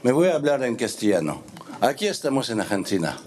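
A man with a French accent addressing a meeting, halting and searching for words as he goes. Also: mid-range voice, big pitch swings, darkish tone, breathy edge. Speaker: board member speaking at a meeting. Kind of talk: meeting remarks. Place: meeting room.

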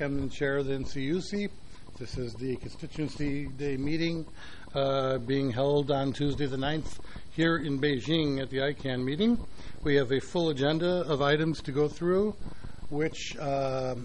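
A man chairs a conference meeting, talking in a loose, relaxed way full of "uh"s and small restarts. His voice sits at a middling pitch, with a faint hiss under it. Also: moderate pace, poor audio. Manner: relaxed, filler-heavy speech with many "uh"s.

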